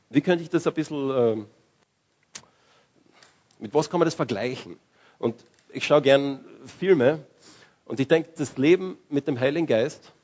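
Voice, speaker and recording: A man preaches in a loose, conversational way, often restarting his sentences. His voice is husky and sits at a middle pitch. The recording is dull and lo-fi. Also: darkish tone. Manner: conversational, with restarts and searching for words. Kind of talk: sermon.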